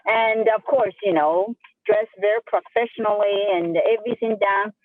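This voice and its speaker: A woman's voice: medium pitch, moderate pace, no echo. Upbeat woman with a Chinese accent on a phone line. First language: Sichuan dialect. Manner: upbeat, enthusiastic, repeats herself. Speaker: real estate agent. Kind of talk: group coaching call.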